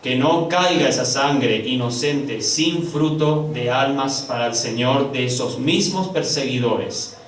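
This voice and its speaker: A man preaching a sermon to his congregation, calling them brothers and swinging from loud, high, drawn-out emphasis to hushed low passages. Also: a little hiss or hum. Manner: addresses congregation as brothers, slow drawn-out stresses. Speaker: pastor. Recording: echoey room, picked up from a distance.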